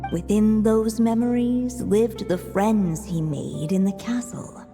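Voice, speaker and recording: A solemn storybook narrator for a game. The delivery is slow and measured, set in a long reverb with music playing behind. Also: female voice, mid-range voice. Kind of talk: scripted fairy-tale narration in a video game.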